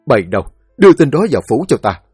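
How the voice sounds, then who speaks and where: A man's voice: mid-range voice, big pitch swings, a little fast, a little mellow, slightly rough, dry recording, studio quality, soft background music. A man voicing a stern older official who barks orders impatiently in a storybook reading. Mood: commanding. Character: stern older male mandarin official, commanding.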